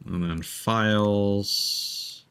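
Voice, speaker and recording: A man's voice: lowish voice, steady tone, extremely slow, a bit bright, smooth. A man live-streaming a video game with casual, reactive commentary, muttering asides to himself and swearing freely.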